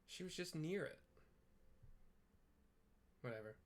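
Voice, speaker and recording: Guy doing casual game commentary, kinda halting, just musing out loud. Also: on the high side, expressive. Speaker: gamer narrating a video playthrough.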